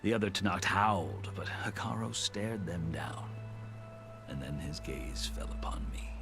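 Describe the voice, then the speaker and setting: Proud veteran warrior-lawgiver, deep voice, measured formal storytelling for a game cutscene, music underneath. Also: male voice, vocal fry. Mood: grave storytelling. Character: seasoned, proud male warrior turned lawgiver, earnest storyteller. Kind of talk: scripted video game cutscene dialogue. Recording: music behind the voice.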